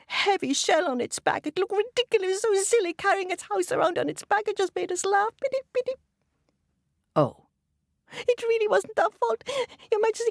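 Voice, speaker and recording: A woman's voice: gravelly, no echo, clean studio sound. A woman voicing a group of nervous little frogs, stammering and pleading. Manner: stammering, high and breathless.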